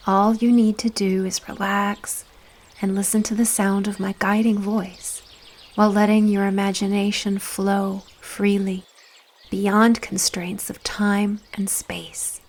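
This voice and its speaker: A woman narrating a guided visualization in a soft, soothing, deliberate voice with breathy phrase endings and long pauses. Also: mid-range voice, little pitch movement.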